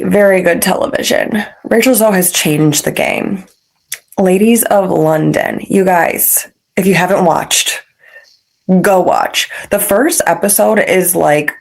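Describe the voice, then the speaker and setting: A gossiping woman host with a lowish, gravelly voice and a stuffed-up, congested sound. She talks casually and gushes as she races through her opinions. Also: darkish tone. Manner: chatty, gushing, fast asides. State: congested with a cough. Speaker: podcast host.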